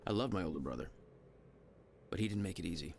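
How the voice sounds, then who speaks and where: A brooding game hero looking back on his past. His low voice is heavily gravelled and grave. Clear ambient noise lies under the narration. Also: expressive, fast, heavily acted. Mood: grave, rueful. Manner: grave, reflective. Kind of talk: scripted reflective game narration.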